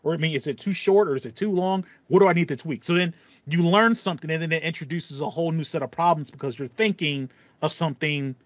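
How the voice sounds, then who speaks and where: A man's voice: on the high side, fast, no room sound. A man in his thirties co-hosting a podcast in casual chat, acting out his thoughts as he talks. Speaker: podcast co-host. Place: small room at home with acoustic foam, indoors. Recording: slightly dull, band-limited audio.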